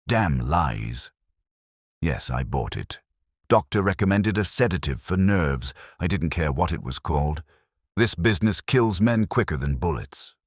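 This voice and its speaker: Man playing gruff money man for mystery audiobook: growling, snappish, defensive, very low, animated. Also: unhurried, no echo, clean studio sound, exaggerated voice. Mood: angry, defensive. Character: gruff, irascible, desperate male theatre financier.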